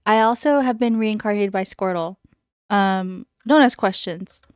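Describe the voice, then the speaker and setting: A woman's voice: medium pitch, unhurried, clean tone, no room sound, studio quality. A young woman with a slightly congested voice, chatting casually and rambling as she shows off a build in a game. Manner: chatty, rambling, self-correcting. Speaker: Minecraft YouTuber. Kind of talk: casual gameplay commentary.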